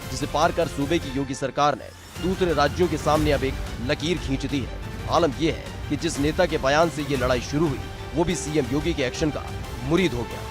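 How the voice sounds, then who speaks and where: A male news reporter delivers a dramatic scripted voice-over in a fairly high voice. His phrasing is punchy and emphatic, and prominent music plays underneath. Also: moderate pace. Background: music bed.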